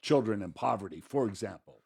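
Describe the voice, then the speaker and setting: A man's voice: fairly low pitch, animated, a little fast, vocal fry, darkish tone, husky. A man co-hosting a talk show in a conversational style, asking clarifying questions and reasoning aloud. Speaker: co-host of a policy podcast.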